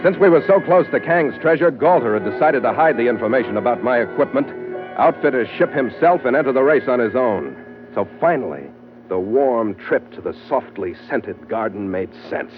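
A man's voice: medium pitch, expressive, normal speed, natural voice. An actor playing a tough, terse American sea captain who narrates his own adventure in an old radio drama. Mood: grim narration. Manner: clipped hardboiled delivery. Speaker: lead actor and first-person narrator. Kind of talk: 1940s-50s radio adventure acting. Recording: tinny old radio recording, music behind.

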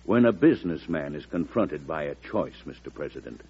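A man's voice: extremely low pitch, lively intonation, fairly quick, a little mellow, slightly rough, full character voice. An actor playing a pragmatic, respectful businessman arguing his case in an old radio drama. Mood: reasonable, deferential. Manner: reasoned, respectful, persuasive. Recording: muffled vintage radio recording.

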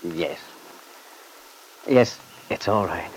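An actor playing a moody, boyish young Italian-American husband in a classic radio drama, plain-spoken and grudging. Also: male voice, sits low, very slow, exaggerated voice. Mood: uneasy, subdued. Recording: noisy old radio recording.

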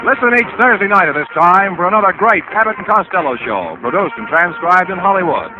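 A man's voice: sits high, lively intonation, fairly quick. A male announcer reading scripted links in 1940s radio style. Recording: muffled old radio, loud music.

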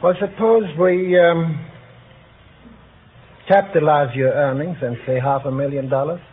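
A man's voice: on the high side, measured pace. A man answering as a quiz contestant in a polite, earnest, slightly hesitant way. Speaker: insurance broker, quiz contestant. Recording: tinny old radio recording, faint noise.

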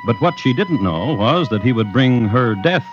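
An American man narrating a 1950s radio crime series as host, with a wry, deliberate storytelling manner. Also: mid-range voice, expressive, music in the background. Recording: muffled vintage radio recording. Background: prominent musical accompaniment.

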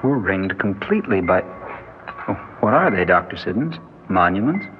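An actor playing a dutiful spaceship pilot with a clipped, procedural delivery in an old science-fiction radio drama. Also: male voice, deep voice, sing-song, moderate pace. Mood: concerned. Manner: crisp, procedural call-outs. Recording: tinny, noisy vintage radio recording.

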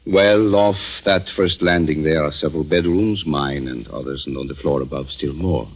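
Very deep-voiced, secretive country doctor. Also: male voice, breathy edge, exaggerated voice. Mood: businesslike. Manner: measured, evasive, firm. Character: secretive, commanding French country doctor and new husband. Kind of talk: 1940s radio drama acting. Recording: muffled, noisy 1940s radio recording.

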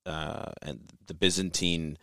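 A man with a Brooklyn New York accent hosts, asking easy conversational questions. His voice is very low, smooth and resonant. The sound is dry and close. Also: level tone, takes it slowly, pushed and bright, no room sound. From United States. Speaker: podcast host. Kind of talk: interview conversation.

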